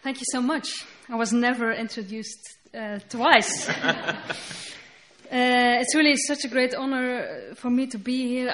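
A woman whose first language is Dutch, speaking English with a Dutch accent as she reads her poetry slowly and deliberately on a festival stage. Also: on the high side, fairly bright tone, slight background noise. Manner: slow, deliberate reading with halting English asides. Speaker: poet. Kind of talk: poetry reading. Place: festival stage before an audience.

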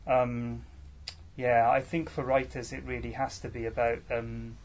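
A man's voice: mid-range voice, flat delivery, a little slow. A man in his thirties, a poet from Wales, answering audience questions at a live salon, hesitant with many fillers and restarts. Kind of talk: casual live Q&A at a poetry salon.

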